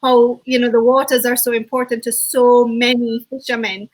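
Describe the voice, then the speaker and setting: A woman co-hosting an online summit on a video call, bubbly and quick to laugh, often correcting herself mid-sentence. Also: a little high, flat delivery. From Jamaica. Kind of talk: enthusiastic webinar hosting.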